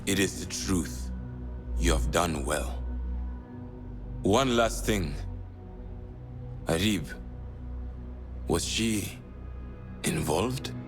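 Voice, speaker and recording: An actor playing a composed, approving comrade in a video game, calm and deliberate. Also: male voice, low voice, over music, exaggerated voice. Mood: approving, grave. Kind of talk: dramatic video game acting.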